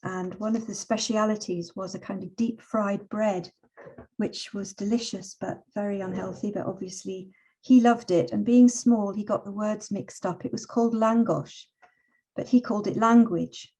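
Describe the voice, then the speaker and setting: A middle-aged woman with a mellow, unforced voice at an average pitch for a woman. She speaks reflectively and with measured phrasing, as a poet and teacher sharing personal words and verse. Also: steady tone, husky, low-quality recording. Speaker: poet and teacher, invited guest. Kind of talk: relaxed personal talk and poetry reading.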